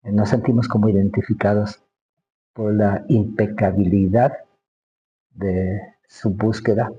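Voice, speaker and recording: An older man with an Ecuadorian accent interviewing in a casual way, halting and repeating phrases as he searches for words. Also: fairly low pitch, little pitch movement, highs cut off.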